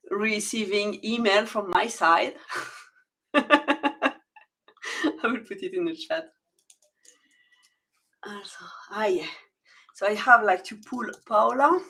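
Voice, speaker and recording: A woman's voice: medium pitch, a bit bright. Chatty woman hosting an online meetup. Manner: chatty, laughs often, many fillers. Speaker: event host. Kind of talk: casual online event hosting.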